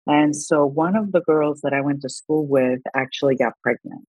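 A woman's voice: low-pitched, normal speed, a little mellow, slightly rough, dry recording, low-quality recording. A middle-aged woman from Colombia, a congressional candidate, speaking earnestly in a political interview and often restarting her words.

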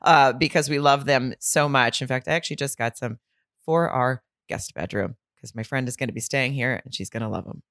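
A woman's voice: a bass voice, fairly quick, fairly bright tone, no echo, studio quality. A young adult woman with a Southern accent co-hosting a podcast, chatting candidly and laughing often. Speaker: podcast co-host. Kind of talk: casual recap chat.

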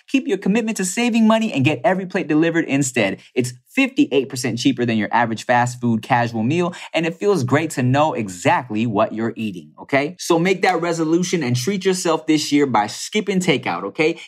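Podcast host guy doing the ad read, chill, keeps saying okay?. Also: a little high. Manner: drops "okay?" after lines. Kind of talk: casual podcast talk with read ads.

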